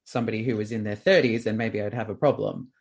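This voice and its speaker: Gravelly light-voiced man hosting a podcast. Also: on the low side, fairly even intonation, fast. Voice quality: light voice, not very masculine. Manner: open, reflective, rambling with fillers. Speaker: podcast host. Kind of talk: casual podcast chat.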